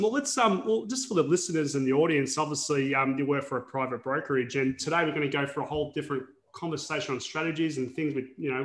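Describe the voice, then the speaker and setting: Brief: eager male interviewer, quick delivery, roomy sound. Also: fairly high pitch, a bit bright. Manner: enthusiastic, encouraging, uses fillers. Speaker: podcast host. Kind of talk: casual interview talk.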